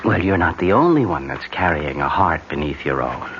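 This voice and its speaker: Expressive, swooping affectionate husband. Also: male voice, rumbling low, moderate pace, full character voice. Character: affectionate, excitable husband in Ireland, loyal friend. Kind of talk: 1970s radio drama acting. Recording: dull vintage radio recording, faint noise.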